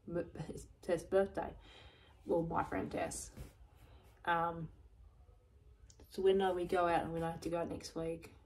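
A woman talking in a rambling personal vlog, repeating herself often, with a voice going croaky. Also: lowish voice, a little mellow, slight background noise, low-quality recording. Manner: repetitive, loose, restarts and repeats herself often. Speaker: vlogger.

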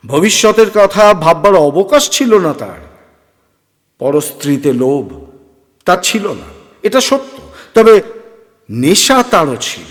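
A man narrating a horror story with theatrical, deliberate phrasing and dramatic pauses. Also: high-pitched. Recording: long hall reverb, music underneath.

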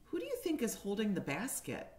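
A woman's voice: mid-range voice, huge pitch swings, fairly quick, darkish tone. A woman around her forties, a kindergarten teacher reading a picture book aloud expressively and putting questions to young listeners, recorded at home on a webcam. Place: living room at home, indoors. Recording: roomy echo on a home webcam.

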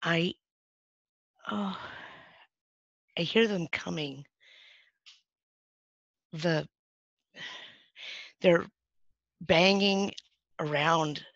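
A woman playing a frightened young girl, slow and gravelly. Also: fairly low pitch, a bit bright, no echo, exaggerated voice. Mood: uneasy. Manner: halting, hesitant telling with restarts. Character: frightened young girl hiding in her bed. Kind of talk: first-person dramatic monologue. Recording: dull, dry lo-fi recording.

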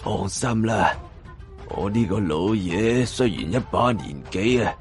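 A man with a Hong Kong Cantonese accent voicing a weary, proud old professor, slow and subdued, in an audiobook. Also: fairly low pitch, expressive, soft background music. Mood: quietly defiant.

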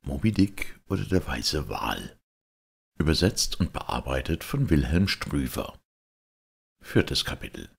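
A man's voice: a bass voice, sing-song, slow pace, creaky, breathy edge. A man reading a classic novel aloud as an audiobook narrator, expressively.

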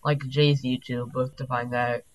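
A young man speedrunning and chatting casually, his deep-sounding voice sitting at a middle pitch. He rambles in quick asides full of fillers. Faint background hum sits under him. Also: little pitch movement, poor audio. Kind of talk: casual gaming chat while speedrunning.

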